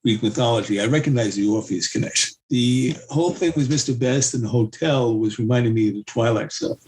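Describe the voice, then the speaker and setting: A man as a podcast guest, reminiscing casually with halting phrases and pauses mid-thought. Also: mid-range voice, darkish tone, poor audio.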